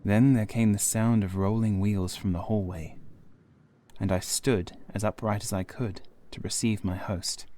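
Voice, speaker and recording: A man reading a gothic horror audiobook in the first person, in a measured, formal storytelling manner. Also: lowish voice, darkish tone. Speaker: audiobook narrator.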